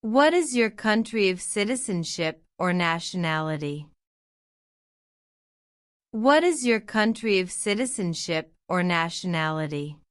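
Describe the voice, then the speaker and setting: A woman reading interview practice questions and answers aloud, saying each line twice in a slow, deliberate drill. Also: lowish voice, animated, no room sound, clean studio sound. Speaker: citizenship test practice narrator.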